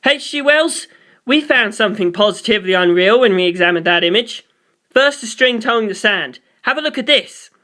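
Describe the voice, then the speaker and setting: A reader as a tense, bright-voiced mission control man. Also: mid-range voice, expressive, moderate pace. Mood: controlled panic. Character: tense male mission control officer over speakers.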